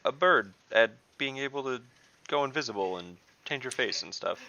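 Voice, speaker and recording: A man's voice: medium pitch, expressive, normal speed, gravelly, pushed and bright. A man chatting casually as a player in an online tabletop game, speaking lightly in character. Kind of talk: casual game chat and light role-play. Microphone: online group call. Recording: dull, band-limited online call audio.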